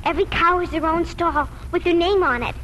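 Very high-voiced earnest little farm girl. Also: fairly quick, fairly bright tone, lo-fi sound. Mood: curious. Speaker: radio drama actor. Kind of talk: 1940s radio acting. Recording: noisy old 1940s radio broadcast.

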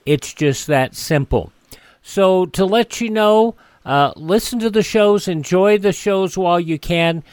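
Higher-voiced male radio host, very dry sound. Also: measured pace, a little mellow, smooth, no room sound. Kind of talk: radio announcing, informal.

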